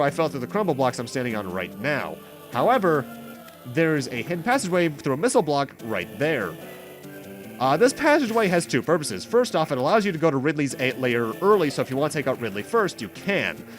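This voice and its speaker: Casting: male let's play host, lively intonation, casual explanatory rambling, self-correcting, faint music underneath. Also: fairly high pitch. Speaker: host of a video game playthrough series. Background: game music.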